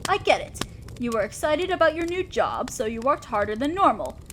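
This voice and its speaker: A woman plays an imperious demon lord of hell with a distinctly high feminine voice. She is commanding and condescending, an exacting boss in an audio roleplay. Clear background noise and ambience sit behind her. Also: big pitch swings, a little fast, a bit bright. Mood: measured, frank. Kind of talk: scripted roleplay audio drama monologue.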